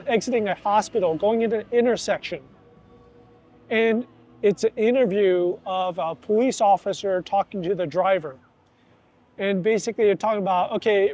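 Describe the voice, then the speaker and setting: Chatty middle-aged man with a high voice. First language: English. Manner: chatty, animated, acts out quoted voices. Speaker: vlogger, student of Thai. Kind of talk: casual vlog talk to camera. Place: outdoors near a festival.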